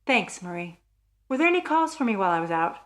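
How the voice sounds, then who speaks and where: A woman's voice: medium pitch, very animated, fast talker, close to an everyday voice. A woman playing an anxious married wife in a radio thriller, speaking earnestly and dramatically, as if fearing for her life. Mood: relieved. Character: anxious married woman convinced her husband plans murder.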